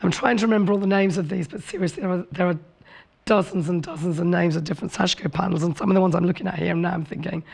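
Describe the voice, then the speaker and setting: A woman presenting a televised sewing demonstration with a hoarse, rough voice from a cold. She explains in a chatty, friendly way and apologizes for her voice. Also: fairly low pitch, steady tone, very fast, darkish tone. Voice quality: rough, raspy voice. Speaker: craft show presenter. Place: TV studio set.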